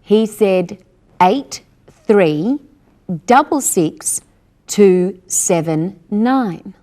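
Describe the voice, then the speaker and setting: A woman's voice: mid-range voice, expressive, drawn-out words, mellow. A woman presenting a scripted English lesson with careful, instructional delivery. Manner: didactic, careful articulation.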